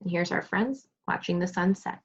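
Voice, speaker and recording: A woman's voice: fairly low pitch, normal speed, breathy edge. A woman teacher reading aloud and explaining to young children, asking questions and praising pupils as she goes. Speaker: second grade teacher. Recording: dull lo-fi sound.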